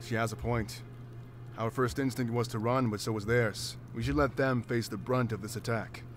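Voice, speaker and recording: A man voicing a calm, protective, burly beast warrior in a video game, reassuring and level-headed. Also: mid-range voice, normal speed, soft background music, close to an everyday voice. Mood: grave, reasoning.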